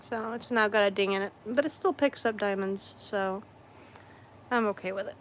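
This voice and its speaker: A woman livestreaming her crafting in casual, rambling chat, hoarse and losing her voice, often clearing her throat and correcting herself. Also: mid-range voice, normal speed, slight background noise. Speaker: craft streamer.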